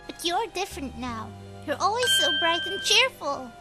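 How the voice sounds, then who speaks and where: A woman doing a soft kind girl, super high little voice, gentle and sympathetic. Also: very animated, moderate pace, loud music behind the voice. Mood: comforting. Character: gentle, kind young woman who reassures a friend.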